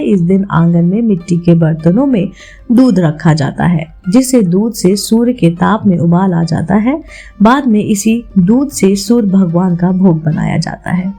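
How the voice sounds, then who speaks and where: Husky, mellow woman explaining devotional rituals. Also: medium pitch. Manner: addresses listeners as friends, instructive. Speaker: host of a devotional channel. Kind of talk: devotional explainer narration with storytelling.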